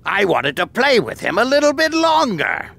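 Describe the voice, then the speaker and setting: A man playing a cocky, brutish alien henchman in an English anime game dub, with a gravelly voice and a sneering delivery. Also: sits high, wildly swooping pitch, moderate pace, a bit bright, no echo, full character voice. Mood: gloating.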